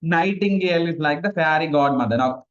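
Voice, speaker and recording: A man's voice: on the high side, brisk, a bit bright, dull audio. A man, a Tamil speaker, giving a casual online revision class, chatty and self-mocking. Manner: chatty, mixes in Sinhala, laughs at himself. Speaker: tutor. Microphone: video call.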